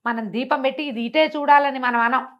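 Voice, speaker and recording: A woman's voice: high-pitched, steady tone, brisk, lo-fi sound. A woman storyteller. She narrates a moral fable expressively, acting out the exchanges and putting questions to her listener as she explains.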